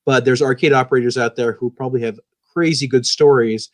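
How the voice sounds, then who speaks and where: Fast-talking enthusiastic man. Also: medium pitch, fairly even intonation. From born in the US, grew up in Pakistan. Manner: enthusiastic, talkative, many fillers. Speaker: guest author. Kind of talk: casual podcast chat.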